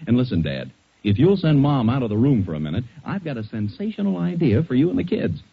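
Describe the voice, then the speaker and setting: A man's voice: medium pitch, sing-song, quick pace, vocal fry, breathy edge. A man with an American accent announcing in polished, persuasive 1940s radio style, as scripted narration and advertising. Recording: muffled 1940s radio recording.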